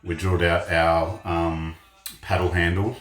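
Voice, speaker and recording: A man's voice: low-pitched, level tone, a little slow. A man chatting casually for a vlog, plain-spoken and brief, as he shows hands-on work. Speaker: vlog co-host showing renovation work. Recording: roomy echo with faint music behind. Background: music from a radio.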